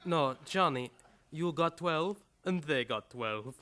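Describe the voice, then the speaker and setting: A man's voice: a little high, lively intonation, slow, sharp tone, full character voice. A man playing a nervy, wheedling doctor who is a crook's sidekick, in a live old-time radio play. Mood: needling.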